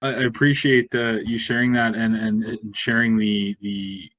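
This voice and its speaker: A man's voice: fairly low pitch, little pitch movement, moderate pace, a bit bright, muffled recording. A man moderating a panel informally, appreciative and a little hesitant as he hands the floor around.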